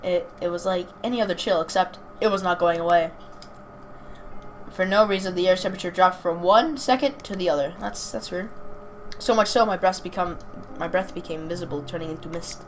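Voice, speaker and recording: A woman's voice: fairly low pitch, brisk. A young woman casually commenting on a game and reading its text aloud, informal and chatty, stumbling over words now and then. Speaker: gaming video host. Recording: clearly noisy amateur home recording.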